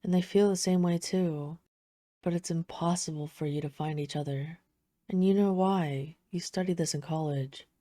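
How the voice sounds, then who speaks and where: A woman playing a poised, flirtatious vampire, speaking softly and teasingly in an intimate audio roleplay. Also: fairly low pitch, fairly even intonation, normal speed, no room sound, studio quality, natural voice. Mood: wistful, hushed. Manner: soft-spoken, teasing, smooth formal phrasing. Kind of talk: intimate scripted audio roleplay addressed to the listener.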